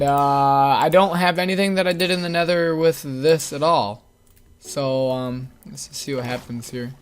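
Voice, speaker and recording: A young man with a voice that is giving out, rambling through a casual game commentary with many fillers. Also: fairly high pitch. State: losing his voice. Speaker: gaming channel host. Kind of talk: casual Let's Play commentary.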